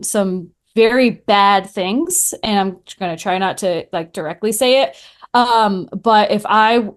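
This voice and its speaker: A woman chats casually as a podcast guest. She tells things in an animated, storytelling way, with a mid-pitched voice and little acted-out quotes. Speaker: podcaster answering questions as a guest. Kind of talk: casual chat.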